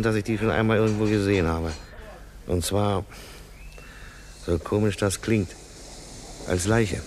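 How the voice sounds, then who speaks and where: A polite railway conductor with a lowish voice, played by an actor, musing about a passenger who seems familiar. There is a faint station hum behind him. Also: male voice, darkish tone, lightly acted. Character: puzzled middle-aged railway conductor. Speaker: train conductor.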